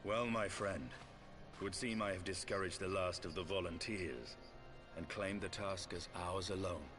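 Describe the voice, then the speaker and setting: An actor playing a stern, proud dragoon knight in a fantasy video game, speaking gravely in formal, archaic English. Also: male voice, sits low, unhurried, music in the background. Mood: wry, resolute.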